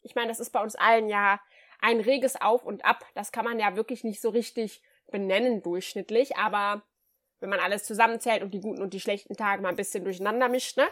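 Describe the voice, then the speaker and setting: A woman's voice: mid-range voice, fairly even intonation, a little fast, a bit bright. A woman co-hosting a book podcast in casual chat, a bit nasal from a cold, cheerful and chatty. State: has a cold, slightly nasal. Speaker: podcast co-host.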